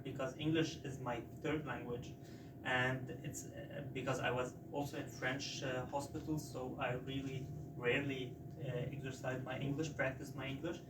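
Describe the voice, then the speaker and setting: Lebanese-born guy, arabic first language, lots of uh and restarts, flat tone, far off in a roomy noisy lecture hall. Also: medium pitch. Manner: halting, many "uh" fillers and restarts. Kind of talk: conversational account in a seminar. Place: lecture room. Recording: roomy, distant from the mic, noisy.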